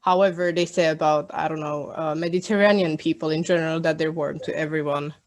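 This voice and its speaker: A woman's voice: low-pitched, fairly even intonation, a little fast. A woman conducting a casual interview, asking open questions and prompting with short murmurs of agreement. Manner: friendly, prompting with short backchannels.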